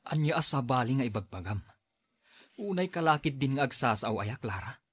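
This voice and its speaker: A man's voice: medium pitch, lively intonation, brisk, husky. A man acting in an Ilocano radio drama as an irritable, defensive husband, with an Ilocano Filipino accent. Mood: scornful, angry. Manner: exasperated, dismissive.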